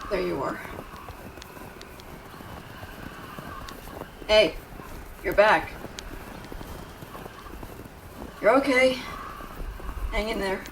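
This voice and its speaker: A woman's voice: medium pitch, a little slow, gravelly, far from the mic, slight background noise, poor audio. A woman playing a brash but caring tsundere young woman in an intimate audio roleplay, scolding with tender worry underneath. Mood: worried, pleading. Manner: brusque, scolding, exasperated, with tender worried undertones. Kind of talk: intimate dramatic audio roleplay addressed to the listener.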